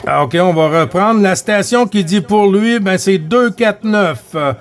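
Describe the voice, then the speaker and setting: A man with a Québécois accent running a CB radio net in an unhurried, chatty way, with frequent hesitations and tag words. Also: high-pitched. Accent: Québécois French. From Quebec.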